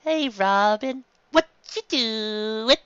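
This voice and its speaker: A woman's voice: a little high, animated, very slow, a bit bright, smooth, dry recording, dull audio, pitched above the performer's own voice, exaggerated voice. A woman voicing a carefree, goofy teenage boy pirate, a cartoon hero. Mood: dreamy, cheerful.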